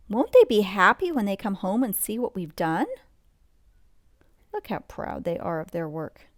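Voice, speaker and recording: A woman's voice: medium pitch, no echo, studio quality. A woman reading a picture book aloud as a bedtime read-along, adding conversational asides and questions to the listener. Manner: unhurried, conversational, points out details and asks the listener questions.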